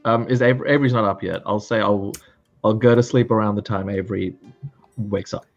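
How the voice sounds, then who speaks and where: A man's voice: fairly low pitch, fairly even intonation, brisk. A man chatting casually as a player in a tabletop role-playing game, hesitant and repeating his phrases. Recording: slightly dull audio, music behind. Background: music.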